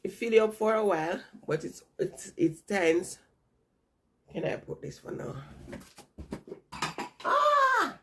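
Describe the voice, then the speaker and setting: A woman's voice: mid-range voice, huge pitch swings, slow, pauses often, a bit bright, slight background noise. A woman vlogger chatting casually and ramblingly to her viewers while she has a stuffy nose from a cold. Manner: rambling, chatty, repeats herself.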